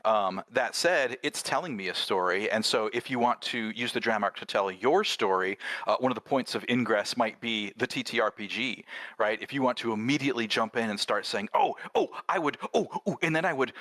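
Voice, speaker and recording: A man's voice: mid-range voice, brisk, fairly bright tone, no room sound. A man talking casually on a panel as a game designer, in an enthusiastic and discursive manner.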